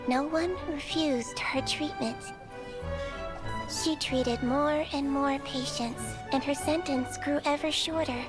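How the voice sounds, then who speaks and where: A small, kind girl doctor speaks softly and earnestly in a high, young tone. Her sweet voice sits over game music in a cutscene. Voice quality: soft, sweet and young-sounding. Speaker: game character. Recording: game audio with music behind.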